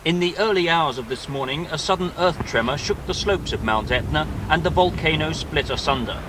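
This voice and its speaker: A man's voice: on the high side, lively intonation, a little fast. Male reporter over noisy footage. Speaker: news reporter. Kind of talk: scripted news report narration. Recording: noisy 1980s TV report tape.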